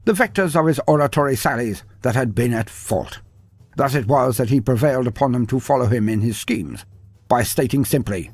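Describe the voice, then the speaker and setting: Husky, theatrical male story narrator. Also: medium pitch, no echo. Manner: theatrical, mock-grandiose. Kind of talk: dramatic scripted story narration.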